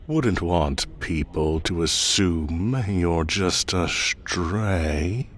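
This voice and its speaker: A man's voice: low-pitched, lively intonation, drawn-out words, a bit bright, heavily acted. A man playing a haughty, teasing fae forest king in an audio roleplay, with a condescending, theatrical drawl. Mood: amused, possessive. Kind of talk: audio roleplay acting addressed to the listener.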